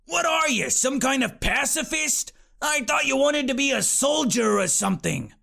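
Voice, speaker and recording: A man's voice: very high voice, animated, moderate pace, gravelly, bright, forceful tone, voice pushed up, exaggerated voice. A man voicing an impatient little male demon who growls and blusters in a storybook reading. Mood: shouting.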